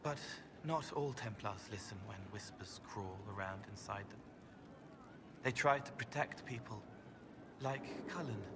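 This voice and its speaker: An actor playing a gentle, otherworldly young man in a video game, speaking in dreamy, halting, poetic fragments. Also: fairly low pitch, noisy background. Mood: quiet, haunted. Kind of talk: video game dialogue acting.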